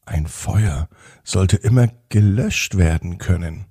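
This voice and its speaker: A man with a husky, gravelly voice gently narrating a children's bedtime story in a soothing manner. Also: low-pitched, takes it slowly, no echo, studio quality.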